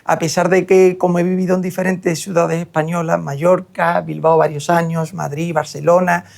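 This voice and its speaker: A man's voice: fairly high pitch, little pitch movement, moderate pace, creaky, darkish tone. A young man from Seville, a surgeon talking reflectively in an interview with frequent hesitations. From Seville, Spain. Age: young adult. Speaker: guest, hair transplant surgeon. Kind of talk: interview conversation.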